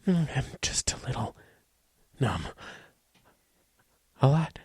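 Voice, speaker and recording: An actor playing a desperate, freezing man in a horror audio drama, stammering and anxious in a husky voice. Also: mid-range voice, sing-song, slow, a little mellow, no echo, studio quality, full character voice. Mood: numb, fading. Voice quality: husky, gravelly, breathy.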